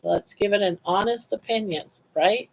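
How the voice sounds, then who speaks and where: A woman's voice: mid-range voice, moderate pace, vocal fry, husky, highs cut off. An older woman talking casually on a livestream, chatty and full of laughter as she tells stories. She often turns to answer comments from her viewers. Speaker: livestream host.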